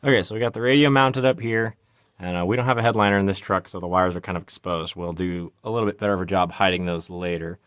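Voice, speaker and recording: A man's voice: deep voice, fairly even intonation, fast talker, fairly bright tone. A man hosting a casual DIY garage vlog, talking informally through a hands-on job as he works. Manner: informal, talks while working. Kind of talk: casual hands-on how-to explanation.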